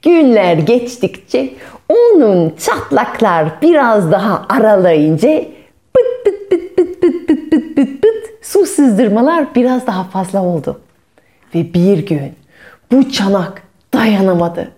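A woman storyteller narrating a fairy tale expressively, with dramatic pauses and playful sound words. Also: fairly high pitch, very animated, dark tone.

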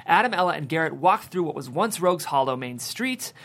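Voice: mid-range voice, animated, fairly quick, a bit bright. A narrator reading the stage directions of a screenplay at a table read.

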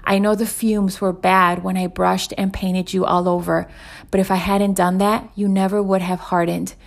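A woman voicing a patient, gently firm male master potter in an inspirational parable, explaining in a measured way. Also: mid-range voice, level tone, gravelly, natural voice. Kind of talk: inspirational parable read aloud.

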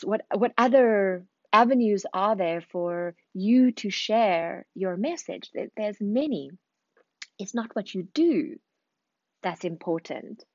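A woman's voice: mid-range voice, dry recording, highs cut off. A woman from Zimbabwe, raised in South Africa, with a South African accent, giving an earnest, reflective motivational podcast talk and repeating phrases for emphasis. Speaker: podcast host, speaking coach.

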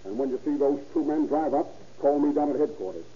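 Male police detective, acted, husky, fairly high, plain-spoken and in command, muffled old-time radio recording. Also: animated, quick pace, a little hiss or hum, close to an everyday voice. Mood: matter-of-fact. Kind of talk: 1930s-40s radio crime drama acting.

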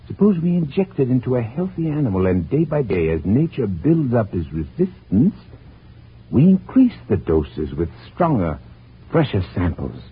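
Troubled middle-aged scientist, brooding theatrical delivery, wide-swinging intonation, muffled vintage radio sound. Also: male voice, mid-range voice, slightly rough, full character voice. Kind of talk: 1940s radio drama acting. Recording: muffled narrow-band old radio recording, hiss.

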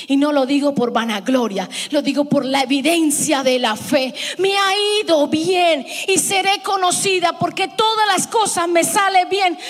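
A woman's voice: very high voice, long reverb tail. A middle-aged woman preaching a sermon to her congregation, emphatic and fond of repeating her lines.

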